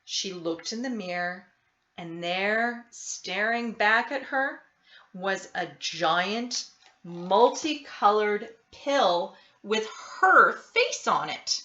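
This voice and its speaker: Slow, bright-voiced woman reading a storybook. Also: medium pitch, lively intonation. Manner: deliberate, dramatic emphasis with character voices. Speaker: storybook reader. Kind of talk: expressive children's picture book read-aloud. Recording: roomy, slightly dull sound.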